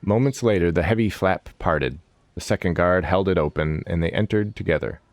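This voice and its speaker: Deep-voiced man narrating fantasy. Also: moderate pace, dry recording. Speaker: storyteller. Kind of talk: dramatic scripted fantasy narration.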